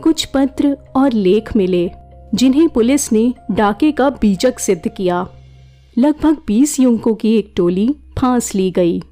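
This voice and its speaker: A woman narrating a classic short story in a measured, dramatic storytelling manner. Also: a little high, animated, warm tone. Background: faint background music.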